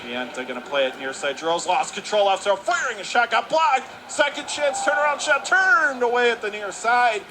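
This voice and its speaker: A man doing radio play-by-play of a hockey game, calling fast and rising sharply into excitement on goals. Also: extremely high pitch. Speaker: play-by-play announcer. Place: arena broadcast position. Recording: noisy arena broadcast.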